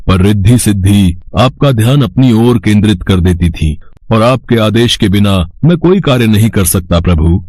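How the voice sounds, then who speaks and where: A man's voice: fairly low pitch, darkish tone, no room sound. A man voicing a loyal mouse servant with a deferential, explanatory way of talking. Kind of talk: animated devotional story dialogue.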